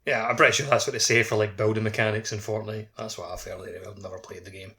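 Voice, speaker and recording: Male podcast panellist, lowish but very bright, crisp-edged tone, casual gaming talk. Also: steady tone, fast talker. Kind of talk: casual video game podcast chat.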